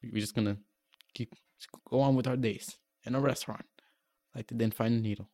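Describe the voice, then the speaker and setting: A young man from Portugal, a podcast guest, chatting casually and stammering over his words when excited. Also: medium pitch, a little fast. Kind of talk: casual chat.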